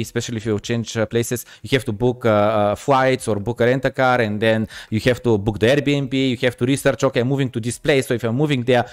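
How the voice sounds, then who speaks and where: Male podcast host, smooth resonant tone, halting delivery full of fillers. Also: medium pitch. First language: Bulgarian. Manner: hesitant, many fillers and restarts. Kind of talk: casual live-stream interview.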